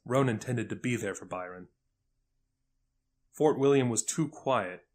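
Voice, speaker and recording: A man narrating a scripted audio fiction story. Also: mid-range voice. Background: faint music.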